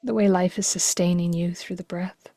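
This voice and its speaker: Woman, low-pitched, mellow and relaxed, gentle breathy hush, slow guided meditation, long pauses. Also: dry recording.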